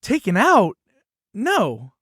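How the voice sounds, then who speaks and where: A woman's voice: medium pitch, huge pitch swings, extremely slow, fairly bright tone, slightly rough, dry recording, clean studio sound, heavily acted. A woman playing a naive, bewildered children's storybook in a puppet show, innocent, questioning and incredulous in her delivery. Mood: alarmed. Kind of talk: theatrical puppet show dialogue.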